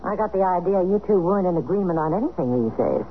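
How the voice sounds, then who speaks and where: A woman's voice: lowish voice, expressive, fast. An actress playing a sly, flirtatious sophisticated woman in a 1970s radio drama, arch and needling in manner. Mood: catty, brisk. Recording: muffled, phone-like, noisy old radio recording.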